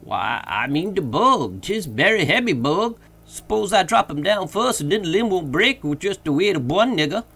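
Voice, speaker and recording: A man's voice: high-pitched, very animated, vocal fry, fairly bright tone, slightly rough, pitched above the performer's own voice, full character voice. A man voicing an eager, fearful, obedient servant with a heavy dialect in a storybook reading. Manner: heavy dialect speech, drawn-out exclamations.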